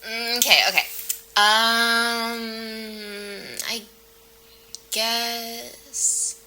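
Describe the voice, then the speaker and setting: A woman's voice: a little high, flat delivery, very slow, pushed and bright, slight background noise, heavily acted. A woman playing a hesitant, chatty young client in an audio drama, talking in a naturalistic, slangy way. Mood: hesitant, uneasy. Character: hesitant, casual young woman recounting a strange experience.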